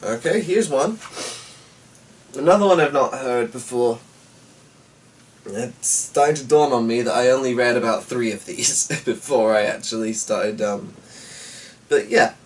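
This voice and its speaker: A man reading a story aloud and rambling to himself, with hesitant, drawn-out musing and muttered asides. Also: mid-range voice. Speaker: host and story reader. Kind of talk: rambling solo chat and dramatic story narration. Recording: distant mic with faint background noise.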